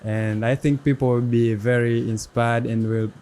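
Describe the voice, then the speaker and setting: A young man with a mellow, warm voice hosts a casual interview. He speaks haltingly and in a friendly way, restarting his sentences often. Faint background noise sits under the recording. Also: medium pitch, flat delivery. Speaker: podcast host.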